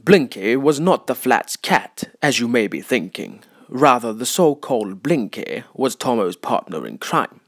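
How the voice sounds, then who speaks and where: A man's voice: medium pitch, sing-song, a little slow, hardly any pauses. Wry, mocking male storyteller played by an actor. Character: wry taunting narrator, a voice inside a man's head. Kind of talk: scripted dramatic narration.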